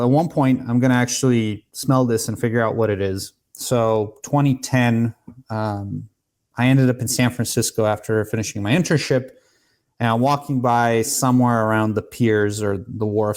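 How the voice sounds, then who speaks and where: A man's voice: mid-range voice, darkish tone. Serbian-born guy on a podcast, chatty, explains stuff, trips over words and restarts. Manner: explanatory, storytelling, many restarts. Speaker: podcast guest, cigar maker. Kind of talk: casual podcast conversation.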